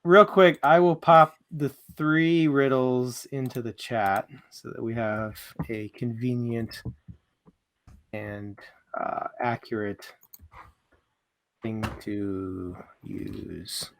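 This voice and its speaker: A man's voice: mid-range voice, fairly even intonation, takes it slowly, creaky, a bit bright. A man co-hosting a casual book discussion, speaking informally and steering the conversation. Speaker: book club co-host leading topics.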